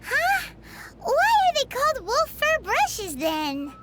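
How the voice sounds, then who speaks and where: Super squeaky cheeky fairy sidekick from a video game, talks about herself in third person, voice bouncing all over. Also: female voice, huge pitch swings, slow pace, background noise, full character voice. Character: tiny floating female fairy companion, cheeky. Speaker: sidekick companion. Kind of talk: video game character banter.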